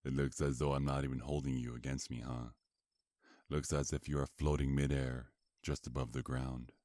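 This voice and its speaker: A man playing a seductive, possessive vampire mafia boss in an ASMR roleplay, with a taunting, drawn-out, intimate murmur. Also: rumbling low, level tone, warm tone, dry recording, clean studio sound. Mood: smugly admiring.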